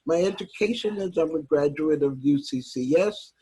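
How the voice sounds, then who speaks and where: Husky woman in her eighties. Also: low-pitched, moderate pace, dark tone, poor audio. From Harlem, New York. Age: 80s. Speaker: workshop participant. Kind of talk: personal reflection on a video call.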